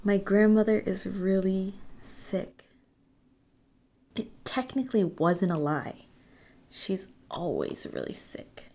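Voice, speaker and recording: A woman's voice: mid-range voice, expressive, slow, warm tone. A woman playing a young college student of the occult, narrating her story in a wry, world-weary, confiding way for an audio drama. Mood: pleading. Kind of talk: first-person scripted audio drama narration.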